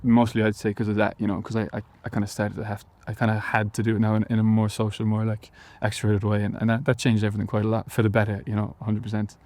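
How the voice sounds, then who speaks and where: Hesitant young Irish man, low voice. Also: completely flat delivery, fast talker, hardly any pauses, a little mellow. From Ireland, Galway. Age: young adult. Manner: hesitant, many fillers and restarts. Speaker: musician guest. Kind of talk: casual interview answers.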